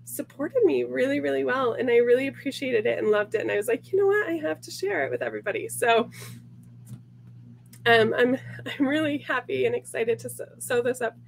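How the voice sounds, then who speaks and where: A woman hosts a sewing sew-along from her home sewing room, talking to a webcam in front of her at her desk. Her fairly high voice comes out gravelly and congested from a sinus infection. She chats cheerfully with plenty of ums and small laughs. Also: slight background noise. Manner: chatty, upbeat, many ums and little laughs. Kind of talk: casual tutorial to camera.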